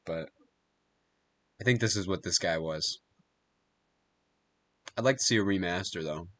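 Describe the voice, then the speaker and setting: A man with a fairly low voice chats casually as a live streamer. His delivery is slangy and unscripted, with easy amazement and occasional cursing as he reacts to what he watches. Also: a little slow, fairly bright tone, a little hiss or hum. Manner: slangy, easily amazed, swearing.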